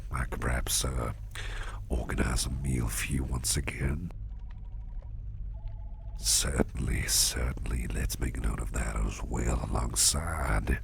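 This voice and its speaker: A man playing a kindly businessman boss in a soft ASMR roleplay, gentle, doting and reassuring in a breathy half-whisper. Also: a bass voice, a little hiss or hum, full character voice. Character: kindly, reassuring businessman boss looking after a valued employee.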